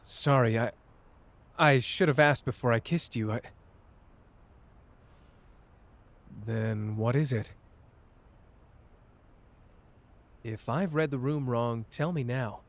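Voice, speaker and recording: A man playing a cocky but wounded young sorcerer in an intimate roleplay audio drama, with halting, emotional delivery. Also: medium pitch, natural voice. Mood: hurt, pleading.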